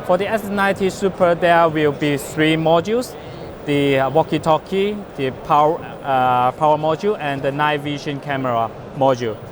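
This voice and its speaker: A man who is not a native English speaker gives an unscripted product demonstration as a company representative, with halting phrasing and frequent uhs. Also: on the high side, crowd noise. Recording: clear urban or vehicle background noise.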